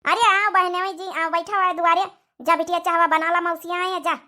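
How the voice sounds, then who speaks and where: A woman's voice: extremely high pitch, level tone, brisk, sharp tone. A woman voicing a doting, practical village mother in a cartoon comedy, speaking coaxingly with an Awadhi accent. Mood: practical, hospitable. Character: doting middle-aged widowed village mother, practical, hospitable.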